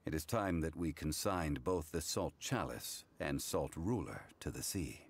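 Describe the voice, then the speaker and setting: An actor playing a calm, solemn ancient man, a wise former god in a video game, who speaks in a formal, measured, reflective way. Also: extremely low pitch, exaggerated voice. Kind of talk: English game voice acting, story dialogue.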